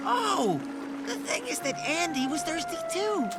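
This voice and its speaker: A woman voicing a cheerful young dragon in a children's cartoon, with an expressive storytelling delivery. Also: extremely high pitch, a little slow, gravelly, full character voice. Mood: curious. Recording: music under the voice.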